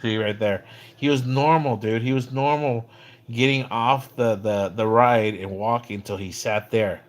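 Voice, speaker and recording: A man's voice: mid-range voice, fairly even intonation, a little slow. Casual, rambling male livestreamer. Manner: rambling, conversational storytelling, slangy; acts out quoted dialogue when recounting. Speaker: live streamer. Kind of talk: casual livestream chat about another streamer.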